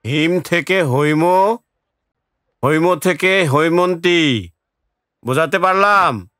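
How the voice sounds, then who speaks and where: A man acting a troubled, irritable village schoolteacher in an audio drama, tense and deliberate. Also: fairly high pitch, pushed and bright, no echo, studio quality. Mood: strained, teaching.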